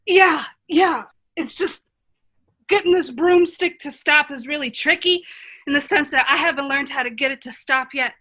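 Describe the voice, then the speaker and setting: A woman acts an excitable young fairy-tale heroine in a radio play. The voice sits very high and rings bright. The talk gushes and rambles in friendly bursts. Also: normal speed. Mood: flustered, friendly. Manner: rambling, gushing.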